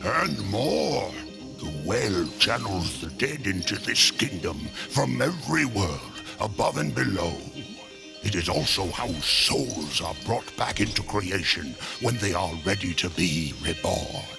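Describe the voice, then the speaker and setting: A sly undead merchant from a fantasy video game, speaking slowly in a low voice that swoops and lingers. He is theatrical and knowing, with music playing strongly behind him. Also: expressive, vocal fry. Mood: knowing, measured. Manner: unhurried, theatrical, knowing, sighing and chuckling. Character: sly undead merchant, last of a burned race. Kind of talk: video game cutscene acting. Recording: loud music under the voice.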